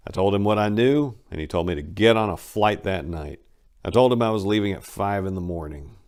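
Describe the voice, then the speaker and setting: Male narrator, deep register, folksy and conversational, reading personal memoir as a dramatic reading. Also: lively intonation, fairly quick, a little mellow. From southern United States (Memphis).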